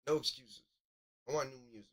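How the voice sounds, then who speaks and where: A man's voice: medium pitch, a little fast, sharp tone. A man chatting casually as he hosts a live music review stream, with a blunt, slangy, complaining way of talking.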